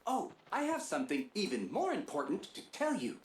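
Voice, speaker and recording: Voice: mid-range voice, wildly swooping pitch, a little fast. An actor voicing a polite, formal, slightly fretful robot comrade in a video game, with precise, wordy phrasing. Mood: earnest, worried. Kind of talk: scripted video game voice acting. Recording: roomy echo, faint background noise.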